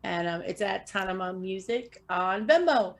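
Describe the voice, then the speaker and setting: A woman hosting a live music interview show, bubbly and quick to laugh. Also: medium pitch, expressive. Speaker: radio host and interviewer. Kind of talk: casual interview chat.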